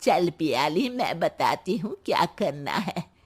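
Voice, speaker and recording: Cartoon grandmother-in-law, played by a woman: elderly, sharp-tongued, scolding, slow and lively in pitch. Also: husky, full character voice. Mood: mocking. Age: older. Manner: taunting, scolding, drawn-out delivery. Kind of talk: Hindi cartoon voice acting.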